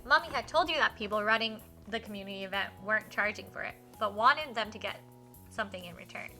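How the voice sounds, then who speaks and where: A woman streaming a visual novel, reading it aloud and reacting in an enthusiastic, expressive way. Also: medium pitch, quick pace, faint music behind. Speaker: game streamer.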